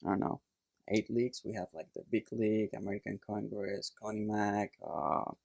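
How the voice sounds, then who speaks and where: Gravelly Puerto Rican man telling stories. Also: lowish voice, normal speed, no room sound. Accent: Puerto Rican Spanish. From Puerto Rico. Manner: relaxed, plain storytelling with fillers. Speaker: chiropractor guest. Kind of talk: casual interview storytelling.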